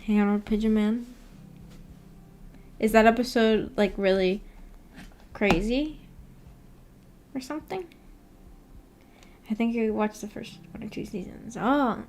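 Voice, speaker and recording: A young adult woman chatting casually on a livestream, rambling informally and reading viewer comments aloud as she goes. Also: a little high. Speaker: VTuber livestreamer.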